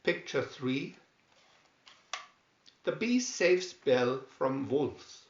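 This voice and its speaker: Slow, lilting man reading a storybook. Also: mid-range voice, sing-song. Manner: deliberate, announcing each caption. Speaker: narrator reading a picture-reel booklet. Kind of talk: scripted storybook narration. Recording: dull, roomy sound.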